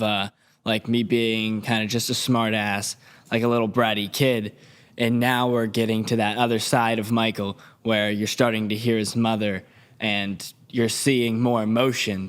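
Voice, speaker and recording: A man's voice: on the low side, clean tone. A man, an actor guesting on a podcast, chatting casually with wry, hesitant phrasing. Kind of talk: casual chat.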